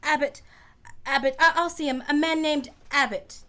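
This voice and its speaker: A shrill, pleading widow. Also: male voice, fairly even intonation, normal speed. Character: grieving widow, excitable, desperate.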